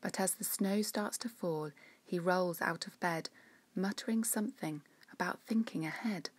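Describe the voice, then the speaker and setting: A woman reading her own rhyming children's story in a gentle, measured, expressive way. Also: lowish voice, breathy edge, no room sound.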